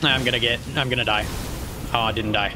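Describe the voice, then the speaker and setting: A young man streaming a game from a small room on a headset, mostly monotone and self-deprecating, swearing and answering chat. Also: medium pitch, brisk, music in the background.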